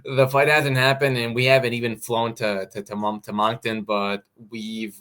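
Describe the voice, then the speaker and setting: A man with an American accent, a fighter answering interview questions in a halting way, repeating words as he goes. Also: medium pitch, flat delivery, a bit bright. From Mexico. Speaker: fighter guest. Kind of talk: casual interview.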